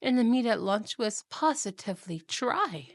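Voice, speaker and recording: A woman's voice: on the high side, expressive, moderate pace, no room sound, clean studio sound. A woman playing a haughty, cruel evil queen and wicked stepmother, imperious, scolding and condescending, in a fantasy audio roleplay. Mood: stern, scolding. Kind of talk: fantasy ASMR roleplay acting.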